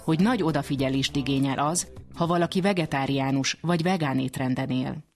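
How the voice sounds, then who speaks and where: A woman's voice: extremely low pitch, darkish tone, faint music behind. A woman hosting a radio programme, narrating from a prepared script. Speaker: radio host.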